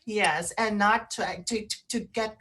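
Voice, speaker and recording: A woman's voice: medium pitch, takes it slowly, fairly bright tone. A woman co-hosting a radio show in casual, conversational talk, repeating phrases for emphasis. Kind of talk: casual chat with practical advice.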